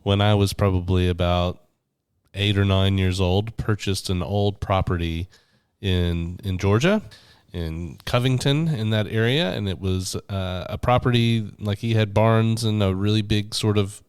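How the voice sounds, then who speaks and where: A man's voice: lowish voice, steady tone, a little slow, darkish tone, clear, resonant tone, no room sound, clean studio sound. A man in his forties, getting over the flu, co-hosting a faith podcast and telling a story thoughtfully. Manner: storytelling, thoughtful, with frequent restarts. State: recovering from flu, voice deeper than usual. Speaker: podcast co-host, minister. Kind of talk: casual faith podcast chat.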